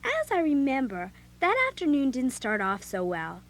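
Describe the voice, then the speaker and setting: A woman's voice: sits high, normal speed, exaggerated voice. A woman with an American accent playing an outspoken, eager seventh-grade girl narrating and acting in a children's audio drama. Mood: storytelling. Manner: animated, blunt.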